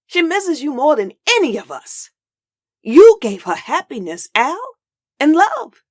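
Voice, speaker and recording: A woman's voice: fairly high pitch, no echo, clean studio sound. A woman voicing a devout, loving, feisty old grandmother in a comic dub, speaking in a folksy dialect. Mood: warm, consoling. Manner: folksy Southern-style dialect, drawn out.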